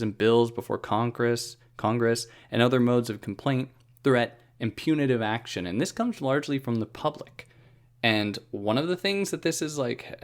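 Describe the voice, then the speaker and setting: A man hosting a philosophy podcast, explaining a book in a casual, conversational monologue with wry asides and frequent restarts. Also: medium pitch.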